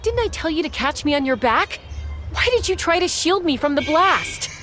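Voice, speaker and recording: A young woman warrior in a game cutscene, caring but wry. Her voice is high and lively, rising and falling freely. Game music plays under her. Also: moderate pace. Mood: wry concern. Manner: concerned, wry. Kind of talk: video game cutscene voice acting.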